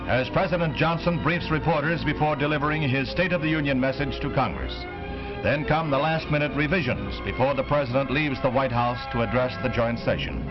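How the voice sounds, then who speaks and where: A man's voice: medium pitch, a little fast. An American man reading a 1960s newsreel script in a formal, declamatory announcer's delivery. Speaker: newsreel narrator. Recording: dull vintage newsreel soundtrack, loud music.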